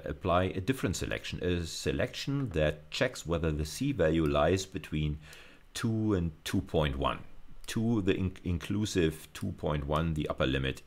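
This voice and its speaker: Very deep, gravelly male lecturer. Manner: hesitant, thinking aloud while demonstrating. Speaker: university lecturer. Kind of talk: informal technical lecture, live demonstration.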